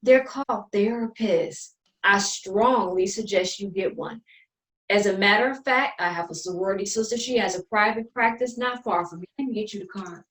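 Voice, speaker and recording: An actress playing a haughty, condescending sister in a play reading, scolding with sarcastic, sugary endearments and careful enunciation. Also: female voice, medium pitch, moderate pace, fairly bright tone, far from the mic, natural voice, low-quality recording. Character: haughty, sharp-tongued older twin sister, polished and condescending.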